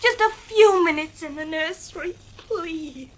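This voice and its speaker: A whiny little girl in an old radio play, super high and drawn out, begging, bit of hiss. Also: measured pace. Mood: pleading. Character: pleading, tearful young girl. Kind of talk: 1950s radio drama acting. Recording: noisy old radio recording.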